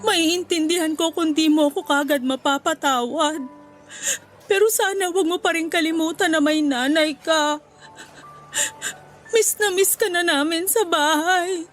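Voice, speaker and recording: A woman plays a middle-aged mother in a radio play, begging her eldest daughter's forgiveness. Her voice sits high and breaks with tears. Soft music runs underneath. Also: unhurried. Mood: tearful pleading. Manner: tearful, pleading. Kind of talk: Tagalog radio drama acting. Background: soft background music.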